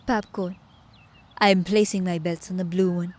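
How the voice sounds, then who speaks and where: A woman's voice: lowish voice, fairly even intonation, a little slow, darkish tone. A woman playing a clever, teasing immortal witch in an audio roleplay, with a playful, mock-courteous manner.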